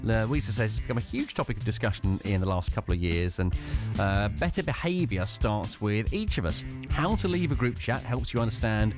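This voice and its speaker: Male radio presenter, animated and conversational. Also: mid-range voice. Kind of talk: informal radio show introduction.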